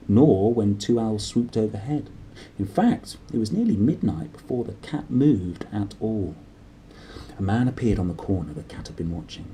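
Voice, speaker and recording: A man narrating a children's storybook aloud in an expressive style. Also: lowish voice, slight background noise, rough recording. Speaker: storybook reader.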